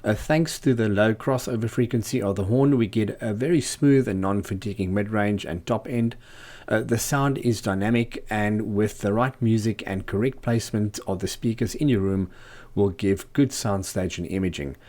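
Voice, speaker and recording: A man's voice: on the low side, normal speed, a little mellow. A man hosting a do-it-yourself video, narrating in a scripted tutorial style. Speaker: host, DIY speaker builder.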